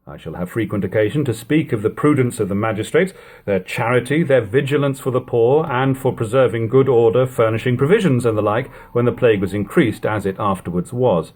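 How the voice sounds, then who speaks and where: A man reading an archaic historical book aloud as an amateur audiobook, in measured, formal phrasing. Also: medium pitch, a little mellow. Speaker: amateur audiobook reader and host.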